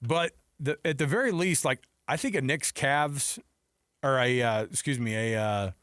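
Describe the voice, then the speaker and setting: A man co-hosting a sports radio show with teasing, excitable, conversational banter. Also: medium pitch, moderate pace, dry recording, clean studio sound.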